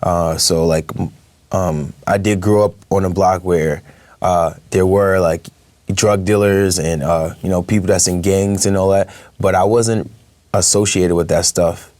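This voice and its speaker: Young New man, low voice, dry close sound, hesitant and chatty guest. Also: steady tone, a little slow, a little mellow, no echo. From Brooklyn, New York. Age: young adult. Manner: hesitant, many fillers, laughs easily. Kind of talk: casual interview chat.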